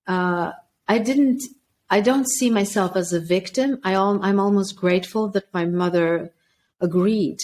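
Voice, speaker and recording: A woman's voice: medium pitch, measured pace, a little mellow. A woman from Sweden, a podcast guest and author, telling her life story in an earnest, thoughtful way. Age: 40s or older. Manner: earnest, thoughtful, with frequent restarts. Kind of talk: reflective personal storytelling.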